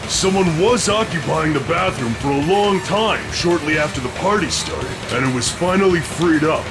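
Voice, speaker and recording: A tactless, boisterous guy from an anime mystery game. His voice is gravelly and pitched high for a man, with emphatic delivery swinging widely. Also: animated, moderate pace. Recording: game music behind voice.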